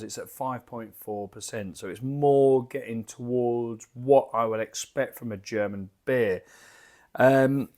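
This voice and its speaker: Man, croaky voice, rambling and chatty, home bar, desk mic. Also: mid-range voice, darkish tone. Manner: rambling, chatty, self-deprecating. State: croaky from a lingering cough. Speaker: beer reviewer. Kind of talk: casual chatty beer review. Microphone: desk microphone.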